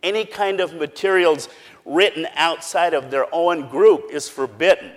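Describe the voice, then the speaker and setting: A man's voice: on the high side, measured pace. A man who grew up speaking Pennsylvania Dutch gives an earnest explanatory talk. His voice sits slightly above the usual male range. He lays things out plainly, one step at a time. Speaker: speaker giving a presentation.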